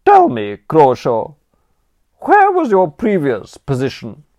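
A man's voice: on the high side, wildly swooping pitch, slow pace, darkish tone, dry recording, lo-fi sound, pitched above the performer's own voice, full character voice. A man voicing a stern, formidable elderly aunt who speaks with grand, clipped disapproval. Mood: imperious.

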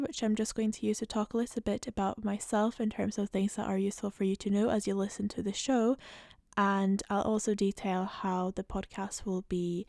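Female podcast host, twenties, mostly Scottish accent with an international tinge. Informal, explanatory delivery, some vocal fry, dry close sound. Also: medium pitch, a little mellow, no echo. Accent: mostly Scottish, slightly international. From Central Europe. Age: 20s. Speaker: podcast host, medical student. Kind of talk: solo podcast introduction, informal explanatory talk.

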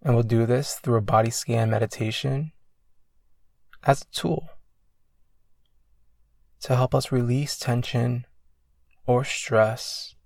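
A man leading a guided meditation as a coach, speaking slowly and soothingly with long pauses. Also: medium pitch, vocal fry, dry recording. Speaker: meditation coach guiding a session. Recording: loud ambient meditation music behind. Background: ambient new-age music with singing bowls.

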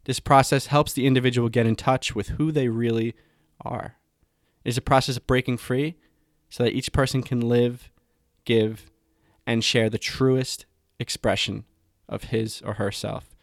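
A man's voice: mid-range voice, steady tone, unhurried, dry recording, studio quality. A man hosting a podcast, introducing a guest and speaking with upbeat enthusiasm. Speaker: podcast host.

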